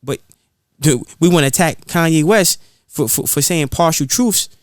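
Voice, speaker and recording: A man's voice: fairly high pitch, moderate pace, no echo, clean studio sound. A man speaking in an impassioned, preachy podcast style, repeating phrases for emphasis. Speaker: guest rapper being interviewed.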